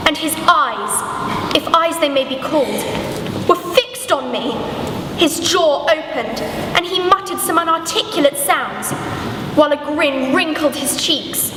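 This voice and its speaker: A woman's voice: sits high, recorded from a distance. A young woman playing a horrified Gothic scientist and dreamer in a solemn dramatic reading, recorded in a noisy, echoey hall. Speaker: pupil performer in a school production.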